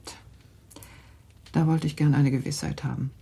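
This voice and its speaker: A shrewd aristocratic mother in a radio drama, her dark, gravelly voice slow and measured. She speaks in confiding tones and sometimes drops to a hushed whisper. The voice is deeply husky throughout. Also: fairly even intonation, heavily acted. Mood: worried. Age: middle-aged. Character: worried aristocratic mother, middle-aged, shrewd. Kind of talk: 1960s German radio drama acting.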